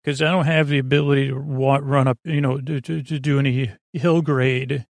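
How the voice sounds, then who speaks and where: A man hosting a sleep podcast in a rambling, halting, self-deprecating bedtime monologue full of tangents, with a creaky voice close to the mic. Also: fairly even intonation, dry recording. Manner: self-deprecating, low-key.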